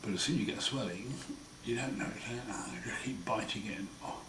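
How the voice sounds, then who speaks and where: A man with a croaky, hoarse voice after throat surgery, talking slowly and wryly in a casual home video diary. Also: lowish voice. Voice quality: hoarse, partly breathy. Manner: slow, wry, plain-spoken, often pausing. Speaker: patient sharing his recovery. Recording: roomy echo, far mic, noisy.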